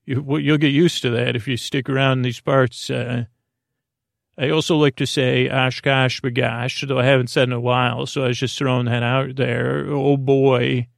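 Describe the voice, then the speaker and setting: A man with a creaky voice hosting a sleep podcast, giving a halting, meandering, absurd monologue that keeps trailing off and restarting. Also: mid-range voice, little pitch movement, dry recording, clean studio sound. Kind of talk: rambling, absurd bedtime monologue.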